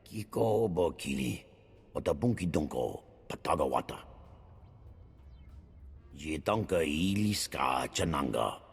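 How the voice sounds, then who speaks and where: Game alien: ponderous menace, bottomless husky voice, invented tongue, music underneath. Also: male voice, rumbling low, lively intonation, measured pace, pauses often, heavily acted. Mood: ominous. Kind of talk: video game dialogue in an invented alien language. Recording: long reverb, music underneath.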